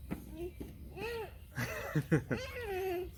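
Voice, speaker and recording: A man's voice: very high-pitched, huge pitch swings, drawn-out words, noisy background. A man with a Brazilian Portuguese accent, a father talking earnestly and thoughtfully, searching for his words as he explains. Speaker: father and vlogger. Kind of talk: casual explanatory talk.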